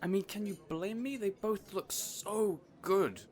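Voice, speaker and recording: A man playing a boyish, caring supervillain boss in an audio roleplay, earnest and rambling, hesitant yet excitable. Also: high voice, measured pace, soft background music. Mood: excited, sheepish.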